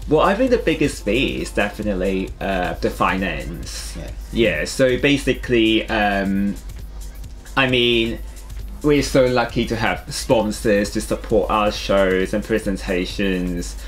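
A man's voice: on the low side, lively intonation, measured pace, soft background music. A young man from Hong Kong with a Hong Kong accent, chatting casually as a designer being interviewed in a small studio. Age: young adult. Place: small studio room with clothing rails, indoors.

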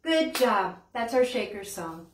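A woman's voice: on the high side, very animated, measured pace. An older American woman hosting a children's storytime, asking simple, encouraging questions as if to young children. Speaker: children's library storytime host. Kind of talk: children's storytime with puppets, songs and read-aloud. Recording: roomy, distant mic, background hiss.

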